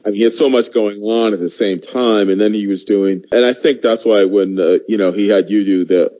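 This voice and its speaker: Low, dark-voiced man on muffled audio. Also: fairly even intonation, brisk. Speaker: podcast panelist. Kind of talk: casual reminiscing chat.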